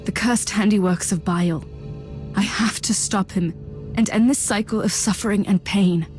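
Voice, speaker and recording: A woman's voice: mid-range voice, moderate pace, a little mellow, faint music behind, lightly acted. An actress playing a grave, resolute woman, a living psychic weapon, brooding and solemn in a game cutscene. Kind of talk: dramatic game cutscene acting.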